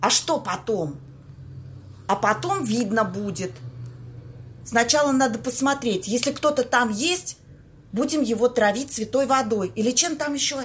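A woman acting a bossy, streetwise young woman in an amateur audio play, husky, slangy and blunt. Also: fairly high pitch, animated, slight background noise. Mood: tense, resolute. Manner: slangy, blunt, drawn-out exclamations.

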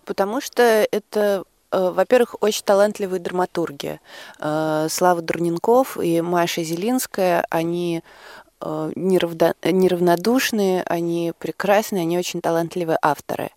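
A female radio guest explains her subject in an interview. Her voice is soft-edged and fairly low, recorded dry in a studio. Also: moderate pace, dry recording.

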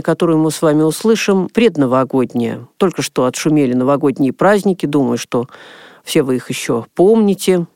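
A man hosting a radio music programme, introducing old songs in a measured, formal way. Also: fairly high pitch, a little mellow, no echo, clean studio sound.

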